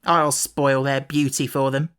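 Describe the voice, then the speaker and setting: A woman's voice: extremely low pitch, flat delivery, unhurried, smooth. Woman playing a scolding, indignant housekeeper. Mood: cross, scolding. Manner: sharp, cross, scolding.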